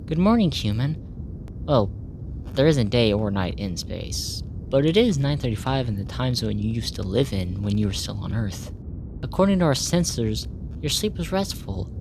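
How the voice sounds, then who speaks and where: A woman acting in a sci-fi ASMR roleplay as a polite, clinical alien researcher, speaking in a measured, formal, earnest way. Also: very deep voice, background noise. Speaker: audio roleplay voice actor.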